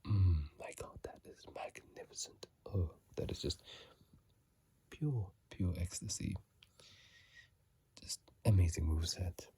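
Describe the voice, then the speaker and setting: A man doing whispered ASMR commentary on a video game, soft and breathy, musing in a halting, casual way, close to a phone mic. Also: low-pitched, no room sound. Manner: halting, musing, unhurried delivery. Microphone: close phone microphone.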